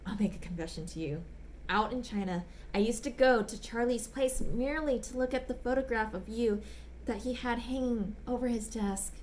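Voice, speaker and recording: Lady reading as a shy lovestruck gent in a dramatic letter reading, polite n apologetic, highish voice, bit of background noise. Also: moderate pace, darkish tone, close to an everyday voice. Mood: adoring, shy. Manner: polite, apologetic, ardent, old-fashioned phrasing. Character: smitten, bashful, gushing gentleman letter writer back from China.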